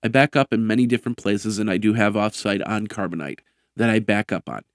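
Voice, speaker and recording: A man with a hoarse, raspy voice hosts a live tech news podcast, talking energetically and rambling with lots of fillers. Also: lowish voice, a little fast, no echo, clean studio sound. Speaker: tech show host.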